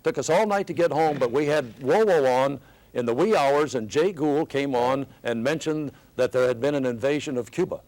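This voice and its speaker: A man's voice: medium pitch, normal speed, darkish tone, poor audio. An older American man, a veteran radio broadcaster, telling casual stories from his years on the air in a panel chat. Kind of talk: panel reminiscence, casual storytelling.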